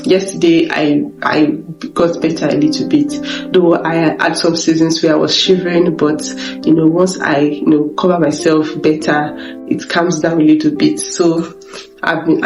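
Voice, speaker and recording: Hoarse Nigerian woman, halting vlog in an echoey room. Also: deep voice, darkish tone. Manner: halting, repeats words and restarts often. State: voice weak and off from illness. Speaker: vlogger. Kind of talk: personal confessional vlog. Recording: echoey room with guitar music behind.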